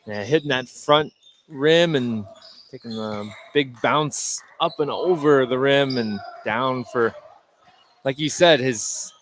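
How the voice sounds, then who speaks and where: Male color commentator, medium pitch, conversational. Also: slow, fairly bright tone, a little hiss or hum. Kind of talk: unscripted live basketball analysis.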